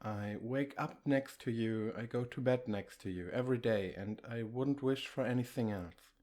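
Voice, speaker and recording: A man playing an affectionate, reassuring boyfriend in an intimate ASMR comfort roleplay, speaking in a gentle, coaxing, conversational way. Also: medium pitch, moderate pace, natural voice. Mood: tender, earnest.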